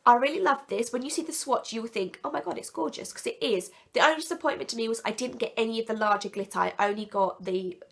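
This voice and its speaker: A woman with a sick, croaky and blocked-up voice, chatting enthusiastically and rambling a little as she reviews products in a casual vlog. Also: on the high side, quick pace, hardly any pauses, a bit bright. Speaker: nail polish vlogger.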